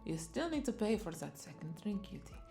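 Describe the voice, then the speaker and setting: Flirty, creaky-voiced vampire bartender played by a woman. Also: medium pitch, very animated, brisk, dark tone, soft background music. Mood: gentle, reassuring. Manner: flirtatious, teasing, breathy asides dropping into whispers. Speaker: audio roleplay voice performer. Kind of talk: intimate scripted audio roleplay.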